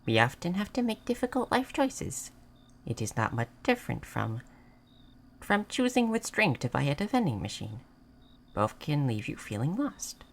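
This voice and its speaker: Woman acting as a curious moth person, low creaky voice, gentle, halting formal phrasing, audio roleplay. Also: very animated, full character voice. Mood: soft wonder. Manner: halting, simple formal phrasing without contractions. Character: gentle, naive, curious moth person learning human things. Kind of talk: scripted roleplay audio addressed to the listener.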